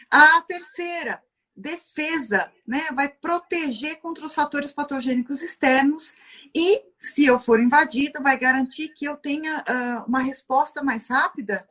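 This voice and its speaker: A woman with a Brazilian Portuguese accent giving a relaxed, teacherly explanation from a livestream, posing rhetorical questions and tagging her sentences with little checks for agreement. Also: sits high. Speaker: guest lecturer on Chinese medicine.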